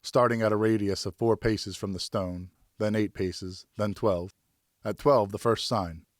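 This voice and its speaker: Male narrator for fiction: lowish pitch, measured dramatic storytelling, dry studio-style sound. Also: steady tone, no room sound. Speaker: audiobook narrator.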